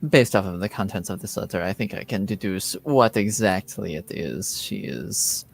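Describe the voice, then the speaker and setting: A man playing a guarded, courtly lord in a fantasy tabletop game, speaking in a formal and deliberate manner. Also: low voice, vocal fry, soft background music. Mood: guarded, measured.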